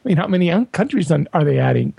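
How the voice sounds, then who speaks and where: Gravelly, husky man chatting animatedly. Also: very fast, no echo. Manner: animated, folksy, jumps to higher pitch when joking. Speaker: podcast panelist. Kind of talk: casual tech chat.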